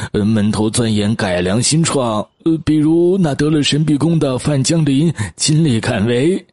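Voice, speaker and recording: Man acting a slow, husky old gentleman. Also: mid-range voice, no echo, studio quality. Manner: hesitant, chuckling, unhurried. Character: elderly men, master and servant, genial and chuckling. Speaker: audiobook voice actor. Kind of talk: acted audiobook dialogue.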